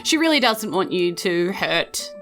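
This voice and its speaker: A woman's voice: on the low side, very animated, unhurried, fairly bright tone, soft background music. A woman with a New Zealand accent running a tabletop roleplaying game, narrating and chatting casually. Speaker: game master.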